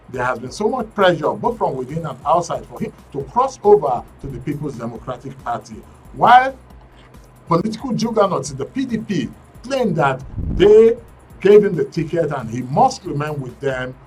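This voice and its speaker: A man's voice: medium pitch, far from the mic, low-quality recording. A man hosting a current affairs call-in show in a broadcast studio. He speaks emphatically and draws out the channel names and handles. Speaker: radio and TV show host.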